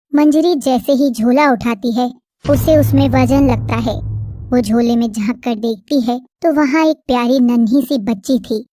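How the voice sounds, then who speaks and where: A woman narrating a cartoon story in an even storytelling manner. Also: a little high.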